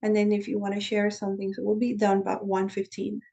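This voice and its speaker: Calm woman guiding a shamanic journey. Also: mid-range voice, lo-fi sound. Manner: calm, slow guiding instructions over a drum beat; chatty and candid when explaining, with soft laughs. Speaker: shamanic practice teacher. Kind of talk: guided shamanic journey meditation. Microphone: video call.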